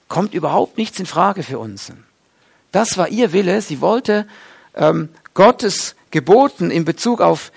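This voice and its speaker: A man's voice: high voice, vocal fry. A middle-aged man preaching a sermon, explaining a Bible passage in an earnest way with frequent hesitations.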